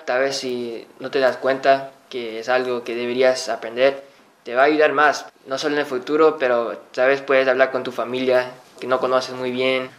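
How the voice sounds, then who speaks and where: A man's voice: mid-range voice, a bit bright. A teenage boy being interviewed, speaking hesitantly and restarting his phrases. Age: teens. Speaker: student guest.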